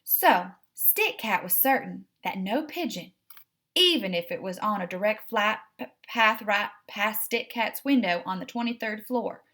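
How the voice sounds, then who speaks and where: A woman's voice: medium pitch, animated, a little slow, pushed and bright. A woman hosting a read-aloud, narrating a children's storybook expressively and addressing young listeners directly.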